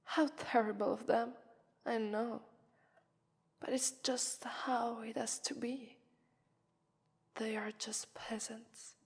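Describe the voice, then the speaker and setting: A woman with an Italian accent voicing a haughty, taunting vampire mistress in an ASMR roleplay, breathy, condescending and often whispering. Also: fairly high pitch, little pitch movement, slow pace, pauses often, full character voice. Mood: mocking, taunting. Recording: long reverberant echo.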